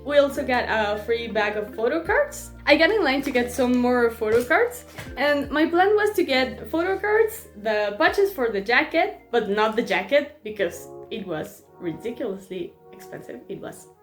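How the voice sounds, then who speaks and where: A woman's voice: on the high side, big pitch swings, moderate pace. A young woman from Mexico, chatting casually and giggling in a vlog, often restarting her sentences. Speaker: vlogger. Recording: echoey room. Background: faint background music.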